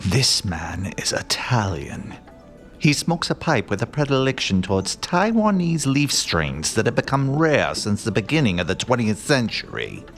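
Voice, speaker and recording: A man playing a haughty, aloof gentleman detective. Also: medium pitch, sing-song. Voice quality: haughty, measured, theatrical pauses. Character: aloof, pompous male gentleman detective Hughes. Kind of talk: radio play acting. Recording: faint music behind.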